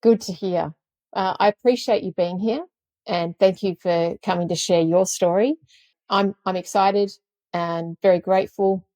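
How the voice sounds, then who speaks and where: A woman hosting a podcast, earnest and gentle as she introduces and encourages her guest. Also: medium pitch, steady tone, a little slow, no room sound. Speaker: podcast host.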